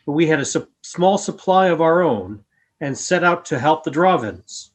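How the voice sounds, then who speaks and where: A calm alien creature from a radio play, acted by a man. The voice sits a little high and speaks formally, with grave, measured phrasing. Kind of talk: fan radio play acting.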